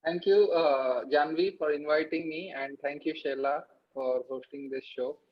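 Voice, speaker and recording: A man in his thirties with an Indian accent, answering interview questions casually and hesitantly, with frequent pauses. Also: a little high, steady tone, lo-fi sound. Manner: halting, hesitant delivery with many pauses. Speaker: interview guest, artist.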